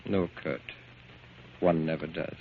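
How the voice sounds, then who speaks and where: An old, muffled radio drama recording with light hiss. a deep-voiced, cool and grave man who delivers grim news deliberately, with quiet insinuation. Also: little pitch movement. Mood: cold, grave. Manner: measured, deliberate, coolly insinuating. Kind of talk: 1940s radio drama acting.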